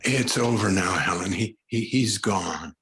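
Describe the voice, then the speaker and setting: A man playing a possessed, tormented husband-like figure in 1940s radio horror style, halting and stammering melodramatically. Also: deep voice, a bit bright, husky, heavily acted. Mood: shaken, pleading. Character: possessed, tormented man struggling against an evil presence.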